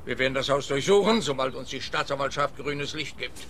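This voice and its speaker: An actor playing a smug, obtuse police officer in a radio play, talking in a pompous, officious way. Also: male voice, medium pitch, a little fast, close to an everyday voice. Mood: opinionated.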